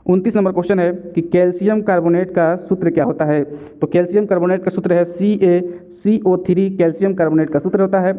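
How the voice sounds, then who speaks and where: A man's voice: high voice, steady tone, fairly quick. A man teaching exam questions and answers in a didactic way, repeating key points as reminders. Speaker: exam-prep chemistry teacher. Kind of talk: question-and-answer lesson narration. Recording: narrow, tinny phone-like sound.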